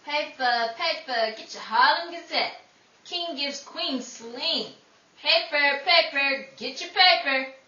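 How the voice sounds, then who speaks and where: Lady doing a brash little 1930s paperboy, sing-song and slow, high voice, muffled roomy sound. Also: bright, forceful tone, heavily acted. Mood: brash hawking. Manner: sing-song street hawking with drawn-out headlines. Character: street newsboy hawking papers, brash 1930s kid. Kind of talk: 1930s radio drama acting. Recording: dull, roomy, far-off stage recording.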